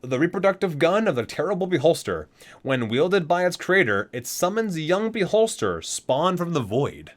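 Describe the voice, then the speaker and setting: A man's voice: fairly high pitch, big pitch swings, normal speed. A man hosting an ASMR video, reading aloud and dropping in casual asides, savouring the titles as he goes.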